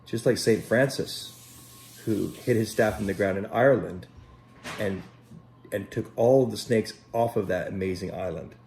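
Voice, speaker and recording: A man reading a children's story aloud in an expressive, dramatic way, pausing to speak directly to young listeners. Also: lowish voice, vocal fry, a little hiss or hum. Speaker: Sunday school storyteller. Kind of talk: animated children's story read aloud with asides. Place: at home.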